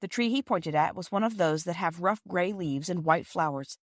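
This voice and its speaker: A woman's voice: lowish voice, moderate pace, dry recording, studio quality. A woman reading a children's story aloud as scripted narration. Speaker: audiobook reader and podcast host.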